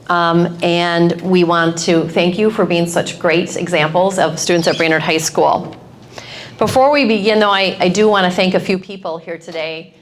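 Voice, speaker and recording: A woman's voice: fairly low pitch, moderate pace, slight background noise. Upbeat middle-aged woman addressing a crowd. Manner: upbeat, direct, speaks up to a crowd. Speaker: high school principal. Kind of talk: addressing a student assembly. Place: large school cafeteria with students.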